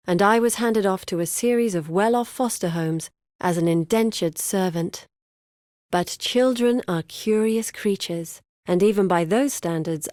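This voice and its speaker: An actress playing a scholarly, driven young woman researcher in a fantasy video game, speaking in an articulate, measured and earnest way. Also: mid-range voice, a little mellow, dry recording, clean studio sound, lightly acted. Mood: reflective, confiding.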